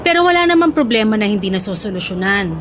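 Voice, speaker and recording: A woman's voice: mid-range voice, very animated, quick pace, over music, natural voice. A woman playing a middle-aged Filipina mother in a radio drama, affectionate yet exasperated, with a Filipino accent. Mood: cautious, explaining. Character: Filipina mother, fond, teasing, scolding, uneasy about home. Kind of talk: Tagalog radio drama acting.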